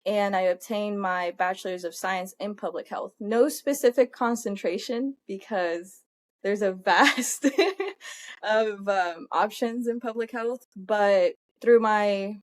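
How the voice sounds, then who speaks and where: A young woman from Puerto Rico, a native Spanish speaker, talks through her answers in a mid-range voice. She is earnest and unhurried, and she pauses and restarts her sentences as she thinks. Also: mid-range voice. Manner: earnest, with frequent fillers and self-corrections. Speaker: guest, industrial hygienist. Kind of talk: conversational interview answers.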